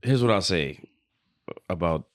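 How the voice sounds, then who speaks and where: A man's voice: sits low, expressive, measured pace, background noise. A man co-hosting a podcast, chatting casually and giving his opinions in slangy talk peppered with swearing. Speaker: podcast co-host.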